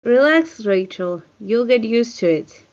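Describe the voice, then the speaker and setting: A woman's voice: fairly high pitch, animated, measured pace, darkish tone. A woman playing a commanding, unsentimental witch mentor in a screenplay table read. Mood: coaxing, impatient. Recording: muffled online meeting audio.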